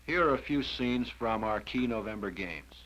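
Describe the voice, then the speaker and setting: A man's voice: fairly low pitch, expressive, moderate pace, fairly bright tone, slight background noise, poor audio. American football coach, a man reviewing a season.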